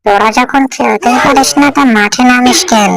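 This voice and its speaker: A woman's voice: on the high side, moderate pace, creaky. A woman voicing a jealous, quarrelsome fox wife in a cartoon, sarcastic and accusing. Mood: scolding. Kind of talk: Bengali cartoon voice acting.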